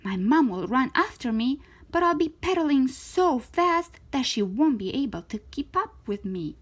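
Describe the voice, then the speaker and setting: A woman reading a children's story aloud in an expressive, theatrical way, with emphatic stretched words. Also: high voice, moderate pace. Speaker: storytime reader of children's books.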